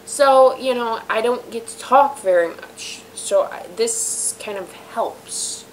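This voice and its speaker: Raspy, sick-sounding woman chatting slowly. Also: on the high side, a little hiss or hum, poor audio. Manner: chatty, self-deprecating, many fillers and trailing asides. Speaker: host of a home web show. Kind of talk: rambling casual monologue to viewers. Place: room in a house.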